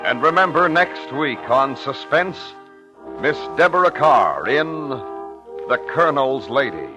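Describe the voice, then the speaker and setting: A man with an American accent announcing a program in formal, measured, dramatic 1950s radio style. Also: medium pitch, animated, music in the background, rough recording.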